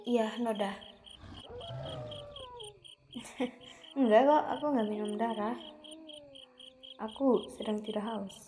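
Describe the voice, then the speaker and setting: A woman voicing a grieving young female vampire in an ASMR roleplay, who talks softly and hesitantly and stammers when flustered. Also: fairly high pitch, a little hiss or hum.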